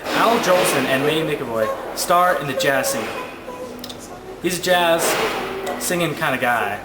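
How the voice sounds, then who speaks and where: A man's voice: a little high, animated, measured pace, over loud music. A man playing an enthusiastic, gee-whiz 1920s movie-news reporter in an amateur mock broadcast. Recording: echoey room, loud background noise.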